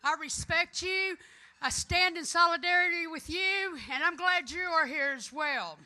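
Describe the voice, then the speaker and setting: A woman's voice: very high voice, a little slow. A middle-aged woman with a Southern US accent, delivering a rousing, folksy, emphatic conference speech as a union leader. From American South, Florida. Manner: emphatic, folksy, loud and declamatory. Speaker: union electrician and trades council president giving a speech. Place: conference hall, addressing an audience.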